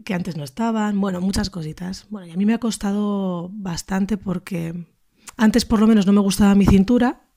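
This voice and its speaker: A woman's voice: mid-range voice, moderate pace, warm tone. A woman in her early thirties with a northern Spanish accent, sharing an informal personal reflection in a hesitant, thoughtful way. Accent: northern Spain. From Basque Country, Spain. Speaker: contributor to a feminist radio show.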